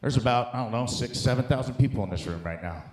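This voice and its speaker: A man's voice: medium pitch, quick pace, a little mellow, slight background noise. A middle-aged American man doing conversational, profane stand-up comedy, talking emphatically and directly to a live audience. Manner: conversational, profane, emphatic, addressing the crowd as dude and guys. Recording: echoey live comedy room.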